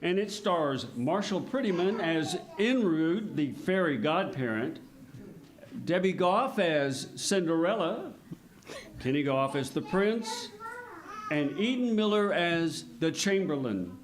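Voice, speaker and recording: Higher-voiced man announcing live theater. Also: big pitch swings, slow pace. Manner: patient, simple explanations for children. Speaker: announcer of an audio theater troupe. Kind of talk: scripted live stage presenting and dialogue.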